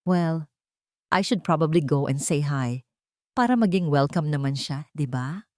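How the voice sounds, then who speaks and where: A woman's voice: sits low, expressive, measured pace, darkish tone, no echo. A woman narrator puts on a haughty young socialite fiancée for a romance audio drama. She is sugary and condescending with a jealous edge, recorded dry and close. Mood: falsely sweet. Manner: sweetly condescending.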